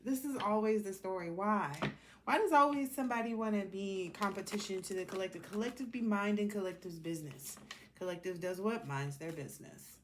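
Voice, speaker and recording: A woman's voice: mid-range voice, brisk. Woman tarot reader, noisy homemade recording. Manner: colloquial, talks to herself and the cards. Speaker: tarot reader hosting a collective reading. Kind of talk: casual improvised tarot reading.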